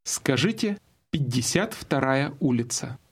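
A man's voice: medium pitch, expressive, a little slow. A man giving scripted instructions in a language course, prompting the listener through each phrase. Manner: clear, guiding prompts.

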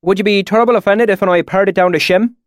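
A man's voice: high voice, steady tone, fast, no room sound, clean studio sound, pitched above the performer's own voice, natural voice. A man playing a genial young man who puts on a folksy, friendly rural dialect. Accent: put-on rural dialect.

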